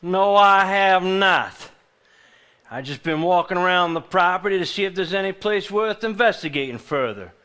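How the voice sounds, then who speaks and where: An actor playing a pushy, greedy, defensive schemer in a live stage play. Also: male voice, high voice, lively intonation, moderate pace, sharp tone, clear, resonant tone. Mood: defensive, sullen.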